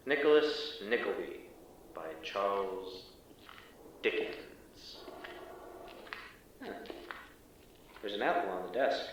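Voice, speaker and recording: An actor playing a wry, uneasy field agent who reports in a casual, halting, deadpan way in an audio drama. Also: male voice, fairly low pitch. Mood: casual, puzzled. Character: wry, uneasy male field agent exploring an abandoned school. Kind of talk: audio drama acting, exploration log. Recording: echoey, distant, faintly noisy field audio.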